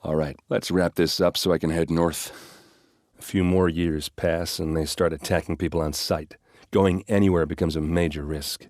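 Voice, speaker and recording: A gruff, loyal soldier from a video game, acted by a man, with a deep voice and a blunt, informal way of talking. He is brash and wry, with grief under the bluster. The sound is dry, with no room on it. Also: steady tone, normal speed, a little mellow, dry recording, close to an everyday voice. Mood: earnest.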